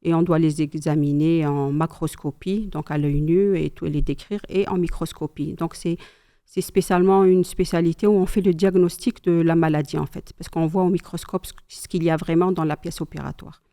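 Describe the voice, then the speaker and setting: Mellow-voiced mother telling family memories. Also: sits low, moderate pace. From Algeria. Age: middle-aged. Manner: calm, thoughtful storytelling with pious asides. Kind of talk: casual chat.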